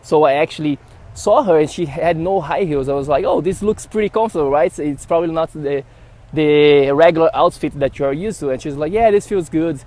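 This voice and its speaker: A young man from Brazil with a Brazilian Portuguese accent, telling a story to camera in a casual, animated way and acting out the dialogue. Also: brisk. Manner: animated, acts out dialogue with rising pitch. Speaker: health vlogger. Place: outdoors in a city. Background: traffic noise.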